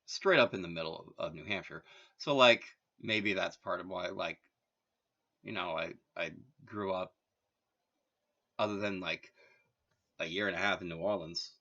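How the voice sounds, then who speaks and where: A man's voice: low voice, normal speed, long pauses, sharp tone, lo-fi sound. A man from Massachusetts talking in a rambling, casual monologue, full of filler words and wry asides. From Massachusetts, USA. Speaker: vlogger.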